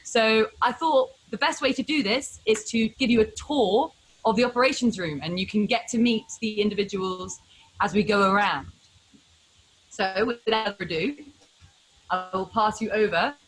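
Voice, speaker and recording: A woman's voice: mid-range voice, moderate pace, husky, poor audio. A woman presenter giving a guided tour of a ship's operations room, tossing in light, encouraging interjections.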